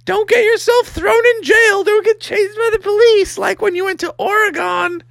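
A woman voicing a fussy, resigned mother frog in a children's story, sighing and cautioning in a shrill voice. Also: steady tone, moderate pace, gravelly, a bit bright, dry recording, clean studio sound, exaggerated voice. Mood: resigned, nagging.

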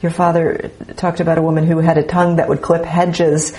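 A woman's voice: sits low, flat delivery, a little fast, warm tone. A woman interviewing an author, admiring and eager, hesitating with many fillers as she builds her questions.

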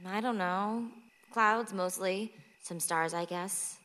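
A woman's voice: medium pitch, takes it slowly, fairly bright tone. An actress playing a curious, casual young woman in a radio play. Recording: very echoey reverberant room or hall.

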